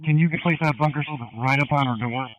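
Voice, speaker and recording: Male tactical officer, crisp and a bit high, measured procedural delivery, close dry radio transmission. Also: dry recording, highs cut off. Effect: police radio. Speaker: police officer in tactical team. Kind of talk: tactical police radio traffic.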